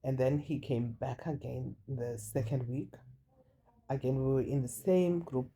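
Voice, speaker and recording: A woman with a deep voice casually telling a personal story, chatty and confiding, often repeating words for emphasis. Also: fairly even intonation, measured pace, dark tone, breathy edge. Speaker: storytime vlogger. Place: indoors while rain falls outside. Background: light rain.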